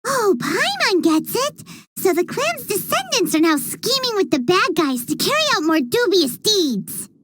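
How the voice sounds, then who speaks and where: Tiny game sidekick girl, super squeaky, swoops all over the place, slow and drawn out. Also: very animated, a bit bright, no echo, full character voice. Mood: excited. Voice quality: high squeaky childlike voice. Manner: bouncy, blurts out reactions. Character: tiny floating female companion, chirpy and excitable. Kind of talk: video game voice acting.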